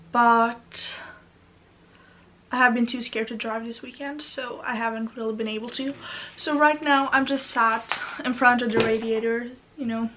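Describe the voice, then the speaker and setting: A young Norwegian woman talks on and on in a loose, rambling way, stacking fillers and side thoughts. Her voice sits a little high and her accent colors her English. She speaks from her bedroom. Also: a bit bright. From Norway. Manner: chatty, rambling, many fillers. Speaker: vlogger. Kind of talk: rambling casual monologue.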